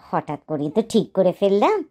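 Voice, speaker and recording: A woman's voice: medium pitch, sing-song, brisk, dark tone. Polite mother duck. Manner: polite, deferential. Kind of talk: cartoon voice acting. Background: background music.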